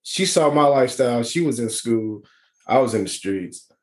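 Brief: man, 40s, relaxed slangy talker, mid pitch, easy laughs. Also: moderate pace. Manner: laid-back, slangy, laughs often. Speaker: panel guest. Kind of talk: casual group chat.

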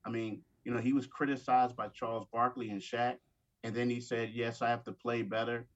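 A man with a mid-pitched voice gives casual sports talk as a guest writer. He speaks haltingly, repeating words as he works through a thought. The sound is slightly dull and band-limited. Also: level tone.

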